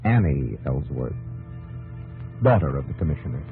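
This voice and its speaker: A man's voice: rumbling low, big pitch swings, moderate pace. A man narrating a 1950s radio drama in clipped, wry, dramatic phrases. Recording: dull old radio broadcast, music behind.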